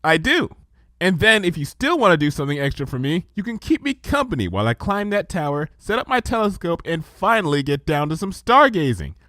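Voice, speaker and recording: A man's voice: a little high, big pitch swings, a little fast, pushed and bright, no echo, clean studio sound, pitched above the performer's own voice. A man voicing an earnest, bookish, anxious young female pony in a storybook reading, polite and careful in manner. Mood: eager. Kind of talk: storybook fan fiction character reading.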